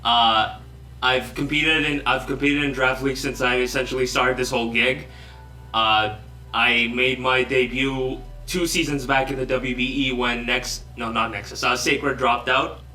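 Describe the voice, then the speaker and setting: A man's voice: mid-range voice, fairly even intonation, a little slow, pushed and bright, soft background music. A young man from Bangladesh, a guest in a casual online interview, joking and self-deprecating as he rambles. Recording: roomy echo, distant from mic.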